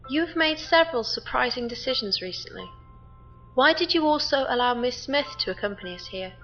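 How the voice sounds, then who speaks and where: A woman playing a cool, logical starship crew member in an audio drama, speaking in a measured, stiffly formal way. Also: sits high, expressive, sharp tone, lo-fi sound, faint music behind, heavily acted. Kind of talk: science fiction audio drama acting.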